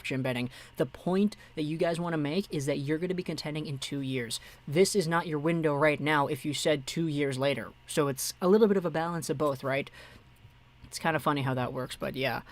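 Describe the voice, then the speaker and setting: A man's voice: fairly high pitch, a bit bright, no echo. A young man hosting a casual hockey live stream, chatting and answering viewer messages as they come in. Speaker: hockey livestream host.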